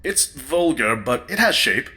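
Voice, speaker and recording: Man doing a droll fussy dinner guest in a radio comedy, deadpan lines, sharp bright voice. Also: medium pitch, measured pace. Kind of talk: comedy audio drama acting.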